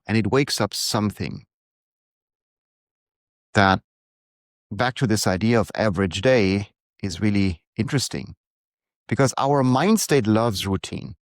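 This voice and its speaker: A middle-aged man, speaking calmly and reflectively in a prepared monologue to his readers. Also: on the low side, no room sound, clean studio sound. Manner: calm, unhurried, reflective. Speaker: newsletter author and coach.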